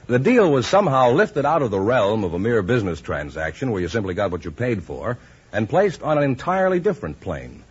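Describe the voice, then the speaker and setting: An American man reads scripted sponsor copy as a fifties radio announcer. His mid-pitched voice is husky and rises and falls freely. The recording is muffled, like an old broadcast. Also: sing-song, a little fast. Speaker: announcer reading a sponsor letter. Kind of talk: scripted 1950s radio advertisement reading.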